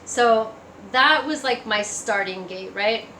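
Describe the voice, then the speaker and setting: A woman's voice: a little high, slow pace, bright, forceful tone. A woman giving a personal testimony in a casual, conversational storytelling way. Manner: conversational, acts out remembered remarks. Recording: echoey room, faint background noise.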